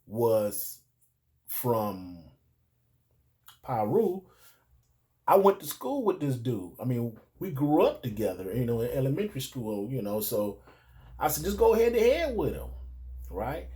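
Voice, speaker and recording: A man's voice: mid-range voice, sing-song, unhurried, pauses often, warm tone. A middle-aged American man telling personal stories casually, in a rambling, digressive way with street slang. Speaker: storyteller recalling his youth.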